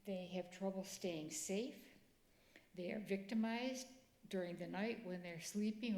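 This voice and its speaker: Soft-spoken woman in an echoey church. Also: lowish voice. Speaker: ministry speaker. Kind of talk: church presentation talk. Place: church sanctuary.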